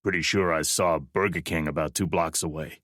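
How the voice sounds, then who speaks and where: A big male specialist with a wry, easygoing way of talking, voiced by the narrator. The voice sits very deep. The sound is dry and close. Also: steady tone, moderate pace, fairly bright tone, dry recording.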